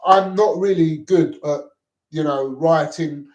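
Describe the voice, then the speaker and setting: A man's voice: on the high side, takes it slowly, darkish tone, lo-fi sound. A middle-aged man from Lebanon, talking in a rambling personal monologue. He is agitated, repeats himself and swears often. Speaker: vlogger. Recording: echoey room.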